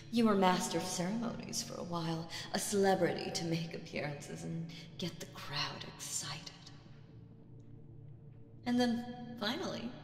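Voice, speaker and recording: A woman voicing a proud, mocking demon queen in an ASMR audio roleplay, theatrical and condescending with dramatic pauses. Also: on the low side. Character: proud, mocking female demon queen, vengeful, regal villain. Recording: roomy with long reverb.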